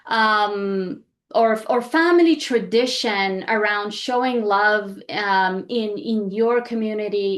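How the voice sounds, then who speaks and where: A woman from Iran leading a group session, speaking conversationally in a reflective way with frequent pauses. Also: mid-range voice.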